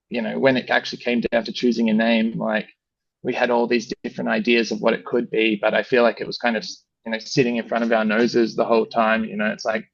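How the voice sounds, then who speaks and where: An Australian man with a gravelly, creaky voice talks in a flat, even tone, loose and rambling, full of fillers. The sound is dry and a little muffled, as if close to a modest mic in a dead room. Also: fairly low pitch, no echo. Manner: relaxed, rambling, heavy with fillers like "you know" and "like". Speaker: brand founder, guest. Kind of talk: casual interview chat.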